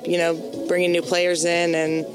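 A woman, a former college basketball player, answering interview questions in a quick, upbeat, jokey way outdoors. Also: lowish voice, monotone, over music, rough recording. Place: outdoor event stage. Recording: busy crowd around.

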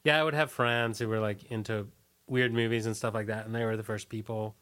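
A man being interviewed as a musician, reminiscing casually and haltingly as he searches for words. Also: lowish voice, fairly even intonation, a bit bright.

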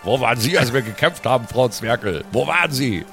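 A man improvises as a player in a fantasy roleplay podcast. His intonation swings widely and animatedly around an average pitch. Music is clearly audible behind him. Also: animated, brisk, fairly bright tone. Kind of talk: improvised in-character tabletop banter.

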